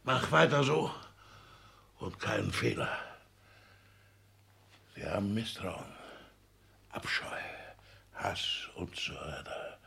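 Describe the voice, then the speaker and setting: An actor playing an exultant, conspiratorial schemer in a 1960s German radio drama, gravelly and theatrical, moving between hushed whispers and outbursts. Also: male voice, lowish voice, sing-song, slow pace, pauses often, heavily acted. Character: exultant, conspiratorial schemer gloating over his conquest. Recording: 1960s studio radio recording.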